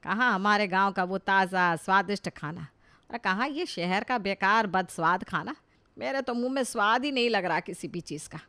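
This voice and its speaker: A woman playing a nagging, sharp-tongued older village mother-in-law in a cartoon family comedy, scolding and sarcastic. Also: mid-range voice, normal speed. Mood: disgusted complaining. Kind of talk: Hindi animated family comedy story acting.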